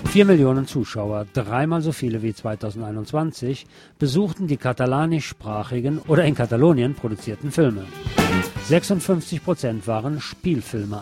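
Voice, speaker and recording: A man's voice: medium pitch, darkish tone. A man presenting news items as a scripted magazine-style read. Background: music.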